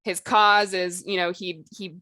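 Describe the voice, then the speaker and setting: A woman's voice: fairly low pitch, level tone, unhurried, sharp tone, no room sound. A woman hosting a podcast, talking informally and conversationally about the craft of writing. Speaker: podcast host. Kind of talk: casual discussion of writing craft.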